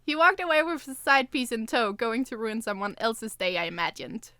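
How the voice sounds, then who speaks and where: A woman's voice: fairly high pitch, normal speed, pushed and bright, dry recording, studio quality. A woman co-hosting a podcast, with a playful manner, in casual chat and story reading. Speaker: podcast co-host and co-writer.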